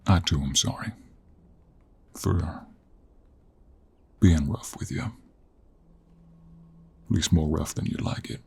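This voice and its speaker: A man playing a gruff, teasing, weary 49-year-old cowboy monster hunter in an intimate audio roleplay, with a husky Western American drawl. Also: a bass voice, a little mellow, full character voice. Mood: apologetic, soft. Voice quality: gravelly, husky. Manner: slow, drawling, with heavy sighs and pauses. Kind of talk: intimate audio roleplay acting, addressed to the listener.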